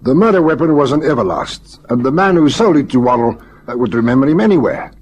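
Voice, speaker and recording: A methodical police lieutenant, very mobile intonation, polite but probing, old radio crime serial. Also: male voice, mid-range voice, expressive, a little mellow, husky. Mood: brisk, businesslike. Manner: inquisitive, brisk, methodical. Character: keen, courteous police lieutenant on a murder case. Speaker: actor in a radio play. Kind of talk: old-time radio detective drama acting.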